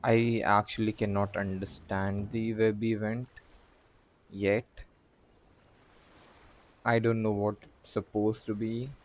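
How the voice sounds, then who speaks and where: A man's voice: on the low side, flat delivery, takes it slowly, pauses often. A man chatting casually on a game livestream, thinking aloud in a hesitant, puzzled way. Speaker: game streamer. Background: faint game music.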